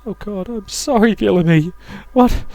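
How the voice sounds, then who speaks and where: A man's voice: high voice, unhurried, gravelly, mellow, pitched above the performer's own voice. A man voicing a distraught, volatile young noblewoman in a visual novel, with a dramatic, pleading manner. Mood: desperate, pleading.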